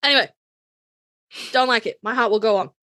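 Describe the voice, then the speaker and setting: A woman's voice: on the high side, brisk, sharp tone, no room sound, studio quality. A woman with a Yorkshire accent co-hosting a podcast, chatting casually and bluntly about her opinions with plenty of swearing and dramatic emphasis. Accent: Yorkshire English. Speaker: podcast co-host. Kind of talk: casual opinionated fan chat, ranked countdown.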